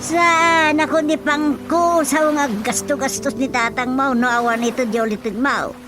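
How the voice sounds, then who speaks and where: A woman's voice: high voice, fairly even intonation, unhurried, over music. A woman with an Ilocano accent playing a fussy, practical, scolding mother in a radio drama comedy, grumbling and emphatic.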